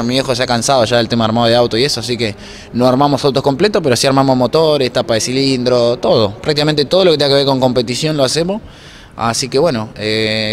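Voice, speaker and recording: Young Argentine man over clear background noise. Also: mid-range voice. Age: 20s. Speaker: interview guest, racing announcer and workshop mechanic. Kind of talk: casual spoken interview. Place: indoor block-walled room at a racetrack. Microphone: handheld interview microphone held toward him. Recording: noisy room, clear ambient background noise.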